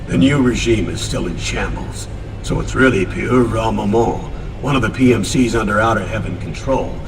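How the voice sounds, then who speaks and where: A man's voice: fairly low pitch, normal speed, long reverb tail, distant mic, noisy background, close to an everyday voice. A man playing an authoritative older colonel delivering sober briefings in a video game cutscene.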